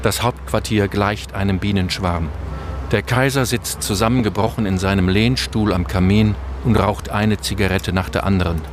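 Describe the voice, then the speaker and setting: An actor playing a sober, weary officer who narrates a radio drama in a measured, first-person way. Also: male voice, lowish voice, steady tone, noisy background, close to an everyday voice. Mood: sombre.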